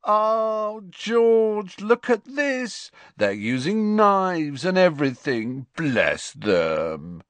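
A man's voice: mid-range voice, huge pitch swings, measured pace, fairly bright tone, no room sound, pitched above the performer's own voice, exaggerated voice. A man playing a mocking, mischievous older-teen twin brother in a storybook, teasing in a mock-grand drawl.

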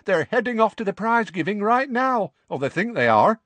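A man's voice: high-pitched, big pitch swings, a little fast, fairly bright tone, no room sound, voice pushed up, exaggerated voice. A man voicing a bold, cheerful young witch with a perky, cheeky delivery in an audiobook. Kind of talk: audiobook character voice.

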